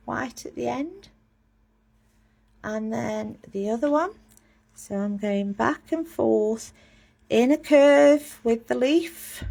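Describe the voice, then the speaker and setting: A woman's voice: fairly high pitch, gravelly, a little mellow. A woman giving a casual live drawing lesson, chatty and self-mocking as she talks through each step while drawing. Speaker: drawing teacher.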